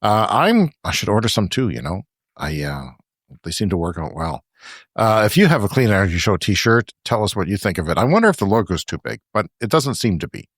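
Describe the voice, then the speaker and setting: A man co-hosting a podcast, chatting casually and laughing between his remarks. His voice sits fairly low but swings up and down a great deal. The sound is close and dry, with no room in it. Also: very animated, a little fast, breathy edge, no room sound. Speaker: podcast co-host. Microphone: remote podcast call.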